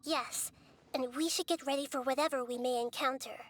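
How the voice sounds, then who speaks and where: A young-looking goddess of wisdom, voiced by a woman, with a high, girlish voice. She talks calmly and patiently, laying things out step by step like a gentle teacher. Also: a little fast, noisy background, lightly acted. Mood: explaining. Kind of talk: video game voice acting.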